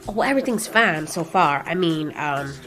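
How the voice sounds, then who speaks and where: A woman's voice: sits low, animated, normal speed, fairly bright tone, faint music behind, voice pushed down. A woman voicing a sheepish, rambling male kaiju with slangy, hedging speech in an audio drama. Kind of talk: casual audio drama dialogue.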